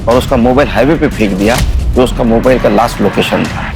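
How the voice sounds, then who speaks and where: An actor playing a stern senior police officer, deliberate and probing in a TV crime drama. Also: male voice, medium pitch, creaky, natural voice. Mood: grave, analytical. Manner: authoritative, interrogating, deliberate. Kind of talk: police procedural TV acting. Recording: dramatic background music under dialogue.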